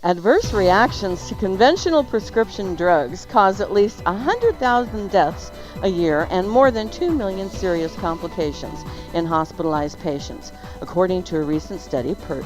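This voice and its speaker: A woman's voice: on the low side, animated, normal speed, few pauses, poor audio. A woman hosting a live morning radio show with a theatrical, playful announcer's delivery. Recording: loud music behind the voice. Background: music.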